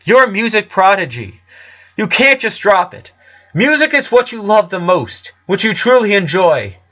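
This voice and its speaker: A guilt-ridden little brother of eleven, played by a man, pitched high. He pleads earnestly and haltingly in a storybook drama, with slightly dull sound. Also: big pitch swings, bright, forceful tone, exaggerated voice. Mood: pleading.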